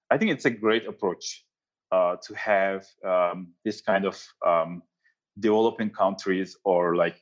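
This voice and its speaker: Turkish-accented man, low voice. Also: muffled recording. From Turkey. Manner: halting, many fillers and restarts. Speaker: guest, company founder. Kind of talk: casual interview answers.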